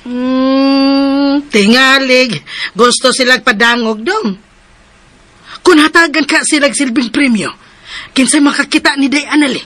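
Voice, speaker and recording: An actress playing a fretful, worried aunt in a radio drama. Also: female voice, high-pitched, a little slow, fairly bright tone, dry recording. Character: worried middle-aged aunt, fretful and scolding.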